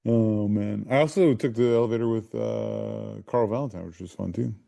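A man co-hosting a football podcast in casual chat, speaking in a hesitant, low-key way. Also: normal speed, gravelly, mellow, no echo.

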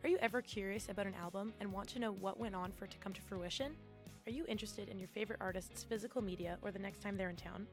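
A woman host promoting her own music radio show in a brisk, eager way. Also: mid-range voice.

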